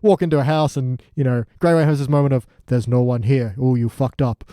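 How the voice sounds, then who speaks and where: A man's voice: mid-range voice, steady tone, brisk, mellow, no echo, clean studio sound. A man co-hosting a podcast, recapping TV in casual, jokey banter and slipping into mimicry in asides. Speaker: podcast co-host.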